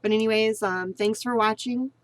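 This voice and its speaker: A woman's voice: fairly high pitch, steady tone, moderate pace, dry recording. A woman giving a casual spoken tutorial on voice training, rambling and repeating herself, earnest and encouraging. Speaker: vlogger teaching voice training.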